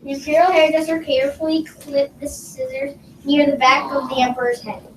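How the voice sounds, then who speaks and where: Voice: high voice, little pitch movement, brisk. A young child reading a script aloud carefully, as a student performer in a school reader's theater. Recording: echoey noisy room, distant microphone.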